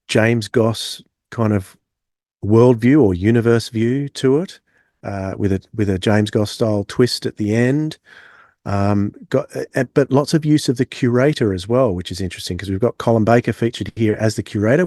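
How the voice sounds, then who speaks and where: A man co-hosting a podcast in casual review chat, talking in a halting, discursive way. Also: medium pitch, expressive, warm tone, no room sound, studio quality. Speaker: podcast co-host.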